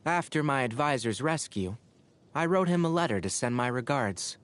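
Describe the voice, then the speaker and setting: A man voicing a young fox-eared forest ranger in a video game, a scholarly mentor who talks in an articulate, wry, gently lecturing way. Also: mid-range voice, lively intonation, moderate pace, close to an everyday voice. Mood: puzzled. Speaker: video game character voice actor. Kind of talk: game character voice lines, conversational monologue. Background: background music.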